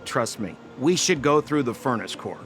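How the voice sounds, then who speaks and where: An actor playing a glib, theatrical rogue who works as a guide in a video game. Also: male voice, medium pitch, expressive, normal speed, natural voice. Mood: glib, showy. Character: slick, theatrical male rogue acting as guide. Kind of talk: animated video game voice acting. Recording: game music behind, sometimes very noisy.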